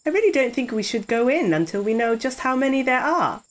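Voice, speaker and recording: A woman's voice: high-pitched, very animated, a little fast. A woman playing a tabletop game, improvising role-play and narrating what her character does.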